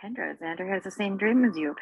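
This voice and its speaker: A woman's voice: medium pitch, expressive, a little fast, vocal fry, slightly rough, no room sound, rough recording. A woman on a podcast panel, chatting casually about a TV episode.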